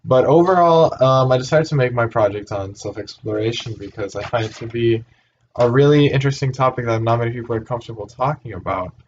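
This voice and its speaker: A man's voice: mid-range voice, fast talker. A young man from Puerto Rico hosting a casual podcast chat, hesitant, with many fillers and restarts. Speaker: host. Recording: dull lo-fi sound with faint hiss.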